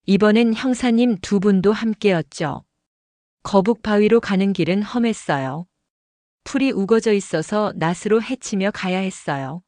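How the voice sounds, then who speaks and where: A woman's voice: mid-range voice, no echo. Woman narrating an audio drama. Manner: steady storytelling narration.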